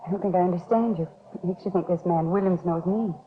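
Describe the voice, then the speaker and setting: A woman's voice: lowish voice, quick pace, lightly acted. An actress playing a guarded, defensive beauty shop owner in her thirties in a 1950s radio drama, cool and firm. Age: 30s. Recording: noisy, tinny old radio recording.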